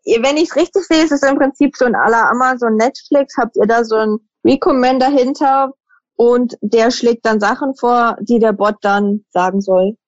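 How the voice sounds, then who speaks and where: A woman's voice: on the high side, normal speed, no echo, dull audio. A woman hosting a podcast interview, asking probing questions and summarizing the answers. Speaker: podcast host. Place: lives in Switzerland for almost ten years.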